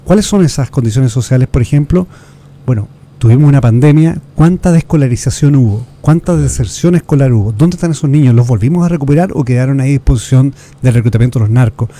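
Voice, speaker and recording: Chilean male guest expert, quick, dark-toned, earnest explainer. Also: mid-range voice. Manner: earnest, lecturing, restarts words, uses rhetorical questions. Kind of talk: explanatory interview talk.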